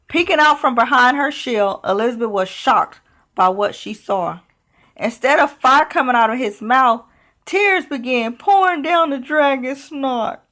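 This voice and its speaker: Slow, high-voiced woman storyteller. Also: a bit bright. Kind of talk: children's picture book read-aloud.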